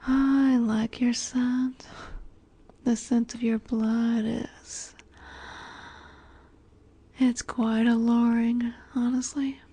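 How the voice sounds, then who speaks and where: Casting: actress as a seductive vampire heiress for intimate audio roleplay, mellow and breathy, unhurried, teasing, often whispering, softly possessive. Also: female voice, on the high side, level tone, heavily acted. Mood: coaxing, teasing. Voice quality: soft, breathy.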